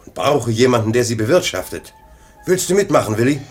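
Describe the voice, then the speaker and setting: An actor playing a grandiose, tempting adventurer who appears as if in a dream, declaiming theatrically in a 1950s German radio drama. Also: male voice, mid-range voice, expressive, fairly quick, soft background music, natural voice. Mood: grand, luring.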